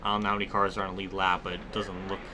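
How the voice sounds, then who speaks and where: Low-pitched man rambling over noisy game commentary. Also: completely flat delivery, racing. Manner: rambling, conversational, swears freely. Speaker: gaming video creator. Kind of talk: casual gameplay commentary with rambling personal storytelling.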